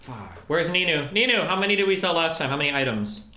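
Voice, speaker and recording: Man, casual stream talk, animated swooping pitch, roomy room, faint background noise. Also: quick pace.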